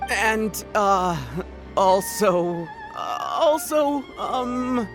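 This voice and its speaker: A woman's voice: mid-range voice, expressive, very slow. A woman playing a flustered, eager-to-please young gang underling in a video game, stammering and pleading. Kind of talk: animated video game voice acting. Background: game music.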